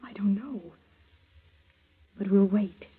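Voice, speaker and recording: A woman's voice: medium pitch, slow, husky. An actress playing an anxious but determined young girl in a 1940s radio mystery, earnest and breathless, on a muffled old recording. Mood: tense, resolute. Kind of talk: 1940s radio drama acting.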